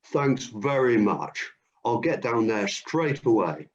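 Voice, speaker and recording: A man's voice: medium pitch, very animated, slow. A man playing Hercules, a keen, overconfident hero looking for work in a comic radio play. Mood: breezy confident. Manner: cheerfully overconfident, matter-of-fact. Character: Hercules, strong, keen, job-hunting hero. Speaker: job seeker. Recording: dull lo-fi audio.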